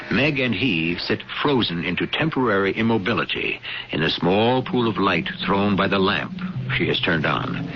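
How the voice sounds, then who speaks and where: A man performing as the portentous, theatrical host and narrator of a mystery radio drama, speaking in measured scripted phrases. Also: low voice. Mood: grave. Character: ominous storyteller host of mystery tales. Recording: dull, noisy old radio broadcast recording. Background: music.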